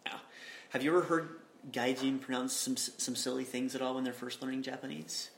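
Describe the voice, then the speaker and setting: A man's voice: mid-range voice, steady tone, a little fast, gravelly. A man hosting a podcast interview, telling anecdotes in a loose conversational way with frequent "uh" and "um". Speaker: podcast host. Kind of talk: casual interview talk. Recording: echoey room with faint noise.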